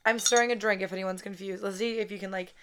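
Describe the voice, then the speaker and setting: Woman in her twenties, podcast host, raspy voice, casual chatty talk, frequent swearing, close mic. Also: medium pitch, fairly even intonation, quick pace, background noise. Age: 20s. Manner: chatty, swears a lot, says "like" constantly. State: raspy voice after a wedding weekend. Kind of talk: casual solo podcast chat. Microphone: podcast microphone, close.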